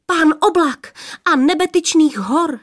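A woman voicing feisty young heavenly washer maidens, excitable and bossy, with shrill urgent exclamations, in a storybook reading. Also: lightly acted. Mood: scolding, alarmed.

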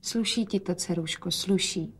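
A woman voicing a weary, wrinkled sick father in a story, speaking in a quiet, wistful and tender manner. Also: on the high side.

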